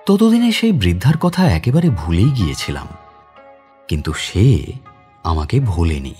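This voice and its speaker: A man's voice: low-pitched, very animated, unhurried, dark tone, faint music behind. An actor playing a kind, reflective man visiting his home village, narrating the dramatised story himself. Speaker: narrator and lead character.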